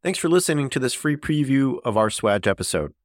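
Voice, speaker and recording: A man's voice: medium pitch, big pitch swings, fairly quick, dry recording, clean studio sound. A middle-aged man hosting a podcast, chatting in a friendly, joking way with hesitant fillers. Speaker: podcast host. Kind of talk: casual podcast chat.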